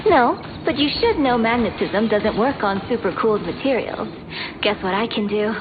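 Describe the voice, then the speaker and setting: A woman's voice: on the high side, animated, moderate pace, close to an everyday voice. A woman voicing a cheeky, confident young hero in a video game. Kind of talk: casual game character dialogue. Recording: muffled game capture with noise.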